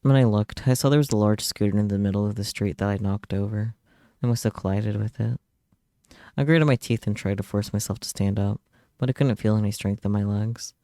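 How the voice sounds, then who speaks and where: Dry, mellow-toned streamer reading aloud. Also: male voice, lowish voice, brisk, no echo. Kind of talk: dramatic read-aloud narration and translated dialogue.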